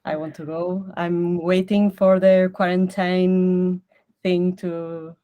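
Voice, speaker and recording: A woman from Barcelona, introducing herself in a casual online chat, hesitant and halting. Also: fairly low pitch, little pitch movement, narrow, tinny audio. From Spain, Barcelona. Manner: hesitant, halting, laughs often. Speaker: participant.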